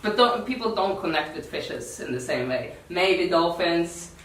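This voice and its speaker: A woman from Norway with a Norwegian accent gives an informal workshop talk in non-native English, halting and repeating words. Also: fairly low pitch, expressive. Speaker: activist workshop speaker. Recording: echoey room, distant mic.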